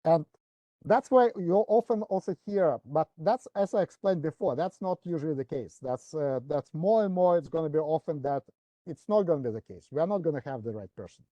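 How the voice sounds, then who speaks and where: A man's voice: on the high side, lively intonation, brisk, warm tone, no echo. A man speaking in a webinar, explaining a work process with many fillers and restarts. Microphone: video call.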